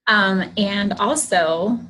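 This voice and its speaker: A woman's voice: medium pitch, extremely slow, bright, forceful tone, a little hiss or hum, low-quality recording. A woman hosting a casual livestream, chatty, greeting viewers, and losing her voice. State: losing her voice, clearing her throat. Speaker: host of a livestream.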